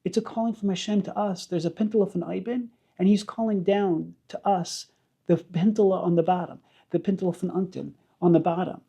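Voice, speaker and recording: Guy rabbi doing a casual torah lesson, soft dark voice w some gravel, really hammers his points by repeating them. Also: sits high, fairly even intonation, brisk. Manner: emphatic, explanatory, repeats phrases with rhetorical questions. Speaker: rabbi and teacher. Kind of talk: informal Torah lesson to camera.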